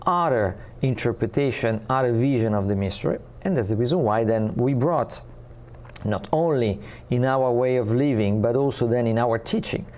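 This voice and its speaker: Man, Italian accent, native Italian speaker, interview guest, earnest, hesitant, mid-pitched. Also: darkish tone. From Italy. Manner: earnest, searching for words with many pauses. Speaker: guest, spiritual community ambassador.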